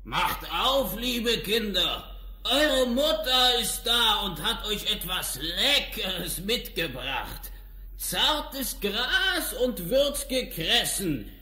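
An actor playing a sly, greedy, hungry wolf with a rough, growling voice in a fairy-tale audio play. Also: male voice, sits high, big pitch swings, drawn-out words, pushed and bright, full character voice. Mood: falsely sweet, coaxing. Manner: scheming, menacing, theatrical.

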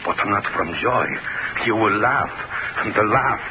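An actor playing a nervous, defensive scientist in an old-time radio drama, agitated and theatrical. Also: male voice, lowish voice, unhurried, natural voice. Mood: confessing, uneasy. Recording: muffled, noisy old-time radio recording.

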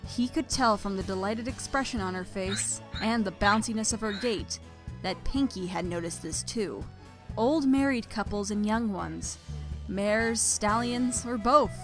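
A woman's voice: medium pitch, measured pace, music in the background. A woman reading scripted story narration expressively as the narrator.

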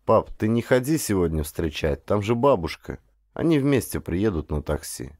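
A man's voice: on the low side, very animated, moderate pace, a little mellow, no echo, clean studio sound. A man voicing an earnest, respectful young man talking thoughtfully and a little hesitantly in an audiobook. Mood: calm, gentle. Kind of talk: audiobook character dialogue.

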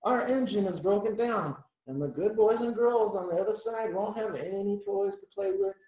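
A man voicing excitable little dolls and toys from a storybook, in a childlike, pleading manner. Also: high-pitched, normal speed, roomy sound, voice pushed up, lightly acted, low-quality recording. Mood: urgent pleading.

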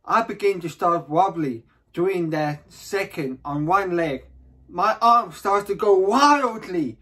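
An anxious dreamer delivers a theatrical monologue, acted by a man. The voice is a bit high and moves very slowly, in short halting fragments, full of self-conscious dread. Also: bright, forceful tone, heavily acted. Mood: tense, careful, then agitated. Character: anxious, self-conscious dreamer dreading their turn before staring eyes. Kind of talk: dramatic stage monologue.

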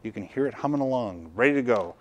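A man in his fifties with a medium-pitched voice presents a product review. He explains each point conversationally, in the casual style of someone demonstrating gear at a table. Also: animated, quick pace, dull audio, crowd noise. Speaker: product reviewer and video host. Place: indoor studio workshop at a tabletop.

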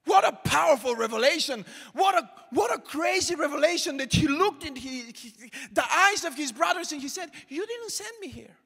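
A young man preaching a sermon to a congregation with a handheld mic, swinging from shouted calls to hushed asides. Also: extremely high pitch, expressive, moderate pace, vocal fry, few pauses. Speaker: pastor. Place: large church auditorium with congregation. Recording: long hall reverb from the sanctuary.

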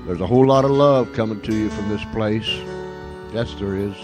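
Folksy low-voiced man hosting radio over music. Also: moderate pace. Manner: folksy, repeats phrases for emphasis. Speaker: radio show host. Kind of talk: casual radio sign-off to listeners. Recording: music under the voice.

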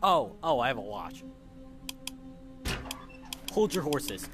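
A man hosting a VR horror let's play, excitable, yelping and shouting when scared. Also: on the high side, slow, creaky. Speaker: gaming host. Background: game music.

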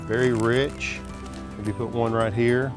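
A man's voice: mid-range voice, fairly even intonation, slow. A middle-aged man hosting a garden show, chatting outdoors with an admiring, enthusiastic manner. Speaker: host. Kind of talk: friendly on-location interview. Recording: music bed underneath.

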